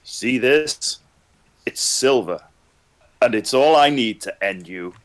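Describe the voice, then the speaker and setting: A man's voice: mid-range voice, lively intonation, slow pace. A man voicing a possessed male fairy who has turned cold and threatening. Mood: menacing. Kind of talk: amateur online table read.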